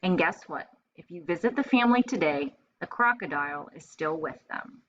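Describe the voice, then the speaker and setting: A woman with a slightly low voice reads a storybook aloud to young children as their teacher. She is expressive and keeps her wording simple for first graders. The recording is a bit dull, with faint noise behind her. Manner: expressive, pausing to explain words simply.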